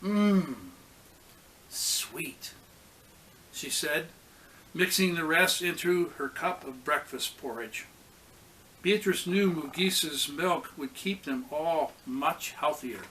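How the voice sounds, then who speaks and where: A man's voice: fairly high pitch, takes it slowly, bright, forceful tone, slight background noise, low-quality recording. A man reading a storybook aloud to children as a pastor, expressively acting out the characters' lines.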